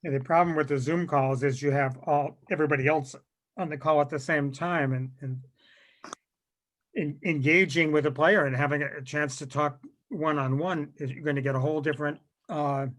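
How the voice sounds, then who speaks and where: A man's voice: on the high side, fairly bright tone. A man moderating a casual video-call discussion, rambling a little and correcting himself as he goes. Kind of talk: casual discussion, asking questions. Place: virtual meeting on video call.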